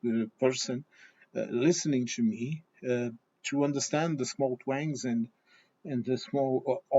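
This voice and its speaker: Man, english, mid pitch, halting with restarts, slightly dull lo-fi sound. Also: mellow. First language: Portuguese and German. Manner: hesitant with many restarts, fillers and repeated "okay?". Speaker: vlogger. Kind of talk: opinion talk to camera.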